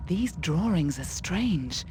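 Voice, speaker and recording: An actress playing a proud, fierce woman warrior in a fantasy video game, with a dry, measured manner. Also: on the low side, lively intonation, a little mellow. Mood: wry. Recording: clear background noise.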